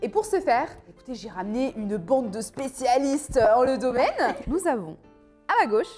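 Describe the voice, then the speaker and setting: A woman hosting a guessing game, presenting with a playful, lively manner. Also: high voice, moderate pace, soft background music. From of Turkish and Moroccan descent. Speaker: host.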